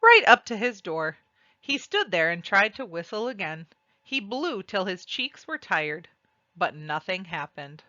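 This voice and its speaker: A woman reading a children's storybook aloud and describing its pictures, with deliberate, expressive phrasing. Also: mid-range voice, no echo, dull audio. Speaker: read-aloud narrator.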